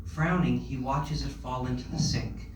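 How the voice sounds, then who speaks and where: A man's voice: mid-range voice, fairly even intonation, unhurried. A man narrating stage directions from a script at a live comedy table read. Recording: echoey room, distant mic, noisy.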